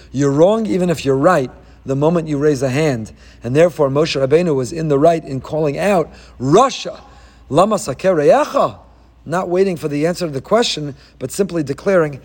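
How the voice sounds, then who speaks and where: Animated man teaching a Torah lesson. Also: fairly high pitch, slightly rough. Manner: animated storytelling, acts out quoted figures; mixes Hebrew verses into his talk.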